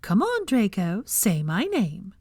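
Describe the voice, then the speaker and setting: A woman's voice: mid-range voice, wildly swooping pitch, slow pace, darkish tone, husky, no echo, studio quality, full character voice. A woman voicing a playful, bossy young witch in an audiobook, insistent and exclaiming, with teasing false sweetness. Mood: teasing, sweet.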